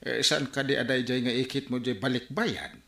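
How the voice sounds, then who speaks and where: A man's voice: mid-range voice, fairly even intonation, moderate pace, vocal fry, fairly bright tone. A man playing a busy village uncle with an Ilocano accent in a radio comedy drama. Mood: brisk. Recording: roomy, echoing studio sound.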